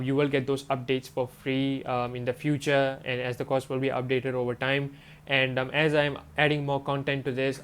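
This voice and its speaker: A man's voice: mid-range voice, smooth. A man, a manifestation coach, talking casually and rambling with lots of fillers, his voice stuffy from a cold. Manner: rambling, many fillers like "you know" and "um". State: congested from a stuffy nose and swollen throat. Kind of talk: casual talk to camera.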